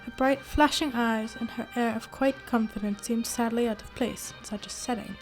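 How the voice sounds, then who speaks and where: An earnest, loyal gentleman companion from a detective radio play, acted by a woman. The voice sits fairly high, with puzzled, old-fashioned phrasing. Faint music plays along with it. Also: steady tone, moderate pace, natural voice. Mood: narrating. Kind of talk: radio drama acting.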